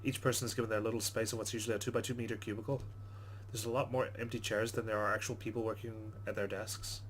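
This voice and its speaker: A man's voice: fairly low pitch, level tone, fast, a little hiss or hum. A man hosting a let's play, reading game narration aloud with casual commentary.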